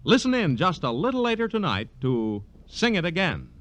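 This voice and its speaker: A man's voice: on the high side, wildly swooping pitch, normal speed, a bit bright. A man announcing a radio program and its promotions in punchy 1950s broadcast style. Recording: vintage radio broadcast with music.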